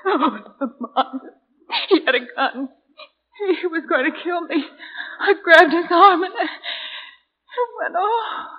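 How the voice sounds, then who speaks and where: A woman's voice: very high-pitched, takes it slowly, pauses often. An actress playing a frightened, desperate woman in a 1940s radio murder mystery, with an overwrought, pleading delivery. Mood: tearful shock. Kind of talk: 1940s radio melodrama acting. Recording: dull old 1940s radio recording.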